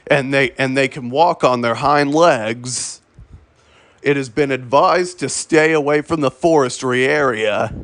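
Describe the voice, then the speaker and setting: A man's voice: mid-range voice, dry recording. A man reading a scripted emergency warning as an announcer, stumbling over words and repeating himself. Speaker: emergency alert announcer in a hobby fictional broadcast.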